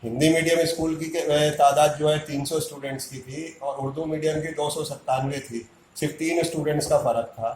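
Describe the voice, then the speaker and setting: A man's voice: fairly high pitch, steady tone, a little fast, hardly any pauses, a little mellow, roomy sound, slight background noise, low-quality recording. A man makes an indignant public statement as a community activist, arguing hard and firing off rhetorical questions.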